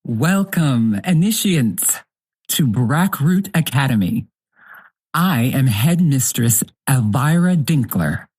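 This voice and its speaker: A dignified, stern headmistress of an eldritch academy. She speaks slowly and formally, with a husky grain in her voice. Every phrase is grave and measured, in the manner of a fantasy audio drama. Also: a bass voice, expressive, darkish tone, heavily acted. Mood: solemn welcome. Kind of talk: scripted audio drama monologue.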